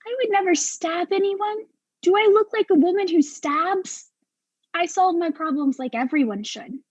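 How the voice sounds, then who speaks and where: A woman acting an exasperated, sharp-tongued professional in a darkly comic mystery play, arguing with precise sarcasm. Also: extremely high pitch, normal speed, no echo, lo-fi sound. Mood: indignant.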